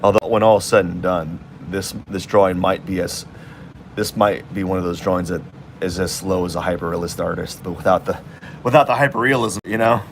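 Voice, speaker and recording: Low-voiced man musing while he draws. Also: fairly even intonation, brisk, a little hiss or hum. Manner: rambling, thinking aloud with restarts. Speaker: artist streaming while drawing. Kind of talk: casual live-stream commentary.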